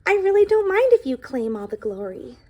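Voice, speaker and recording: A woman's voice: very high-pitched, very animated, fairly quick, mellow, voice pushed up. Modest, bashful little pie voiced by a woman. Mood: modest, earnest. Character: humble, modest, self-effacing male pie from a picture book.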